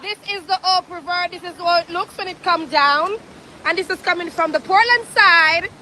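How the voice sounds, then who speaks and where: A woman's voice: very high-pitched, moderate pace, pushed and bright. A woman reporting live from a flooded river, repeating herself as she points out what is around her. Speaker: field reporter in a phone video. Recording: noisy outdoor phone video.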